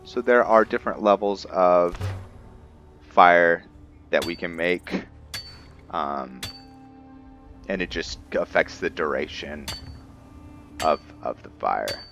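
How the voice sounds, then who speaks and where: Low-voiced man, slow casual game commentary. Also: gravelly, a bit bright, soft background music. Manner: halting, thinking aloud. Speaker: gameplay host.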